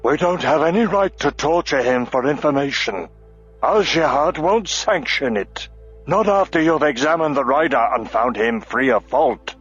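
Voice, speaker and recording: A man voicing a stout, stubborn male dwarf warrior who speaks gruffly and defiantly. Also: medium pitch, expressive, a little slow. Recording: lo-fi audiobook with faint music.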